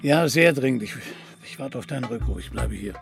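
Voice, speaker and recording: An actor playing an urgent, controlled man with a creaky voice in a German radio play. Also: medium pitch, lively intonation, brisk, over music.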